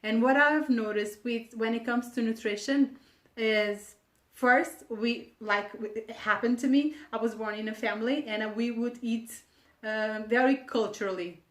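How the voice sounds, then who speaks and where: A woman with a Portuguese accent and a fairly high voice talks informally, as a wellness vlogger explaining things to viewers. She moves along haltingly and repeats words for emphasis. The room around her adds a clear echo. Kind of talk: informal explanatory talk to camera. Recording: echoey room.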